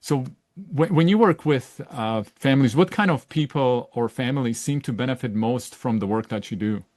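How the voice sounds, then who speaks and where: A middle-aged man from Czechoslovakia hosts a casual podcast chat at a mid pitch. His voice is close and very dry. He gropes for words, restarts his sentences and checks in with the listener as he talks. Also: moderate pace, darkish tone, no echo. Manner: searching, self-correcting, with frequent "right?" tags. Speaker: podcast host. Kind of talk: casual conversational interview.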